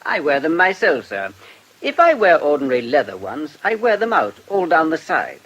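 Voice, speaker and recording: An actor playing a respectful, earnest manservant in a radio drama, formal and deferential. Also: male voice, fairly high pitch, wildly swooping pitch, moderate pace, fairly bright tone, heavily acted. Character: deferential, grateful manservant assistant, quaintly earnest. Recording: dull lo-fi sound.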